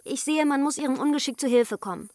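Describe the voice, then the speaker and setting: An actress plays an impatient, well-born young lady in a radio drama. Her high voice is imperious and precise, scolding with cool haughtiness. The recording is dry and close. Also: quick pace, darkish tone, no room sound, close to an everyday voice. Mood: chiding. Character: haughty, impatient young upper-class daughter, Susu. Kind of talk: German radio play acting.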